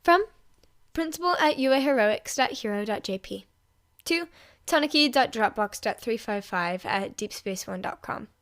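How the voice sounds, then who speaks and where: A woman narrates scripted fiction. Her voice sits fairly high, and she reads as a storyteller. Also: animated, normal speed, a bit bright. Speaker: fan fiction reader and podfic host.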